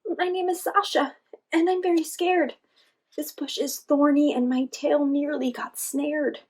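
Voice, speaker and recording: Woman as little female squirrel, storybook creature, timid, frightened, high squeaky voice. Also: fairly even intonation, a little slow, darkish tone. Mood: scared.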